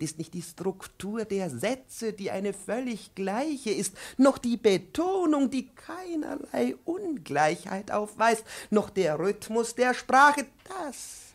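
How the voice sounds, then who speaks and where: An actor playing a pedantic, irascible professor in a 1950s German-language radio play, pompous and didactic. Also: male voice, high-pitched, exaggerated voice. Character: pedantic, irascible male professor, lecturing and browbeating his pupil.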